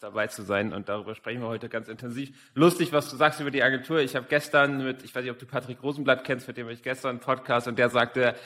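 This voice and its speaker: A man's voice: mid-range voice, bright, forceful tone. A man in his thirties from Hamburg with a northern German accent, hosting a casual interview podcast in an upbeat way. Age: 30s. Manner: upbeat, laughs easily. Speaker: podcast host.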